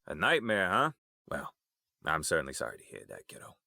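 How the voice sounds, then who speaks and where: An actor playing an easygoing, streetwise, affectionate uncle in an audio drama, talking in a familiar, colloquial way. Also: male voice, low-pitched, big pitch swings, a little fast, vocal fry, sharp tone, dry recording, clean studio sound, exaggerated voice. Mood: friendly, concerned.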